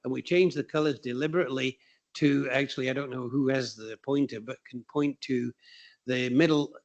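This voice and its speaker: A man presenting as architect at a public county meeting, deliberate and conversational, speaking up for the room. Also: mid-range voice, fairly even intonation, lo-fi sound.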